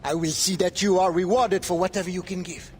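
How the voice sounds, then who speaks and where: An actor playing a proud, principled Maroon rebel leader with a Haitian Creole accent, speaking in a measured, earnest way. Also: male voice, high-pitched, steady tone, faint music behind, close to an everyday voice. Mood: grave, measured. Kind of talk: dramatic video game acting.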